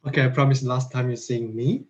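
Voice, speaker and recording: A man's voice: medium pitch, fairly even intonation, brisk, darkish tone. A man as federation president giving a formal closing speech over a video call, earnest, with small asides. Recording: slightly dull online call audio.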